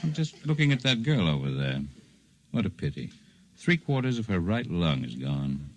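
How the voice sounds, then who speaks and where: An actor playing a calm, erudite alien healer in a 1950s radio drama, speaking in formal, precise, gently condescending phrases. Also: male voice, low voice, normal speed. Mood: aloof, measured. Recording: 1950s radio broadcast recording.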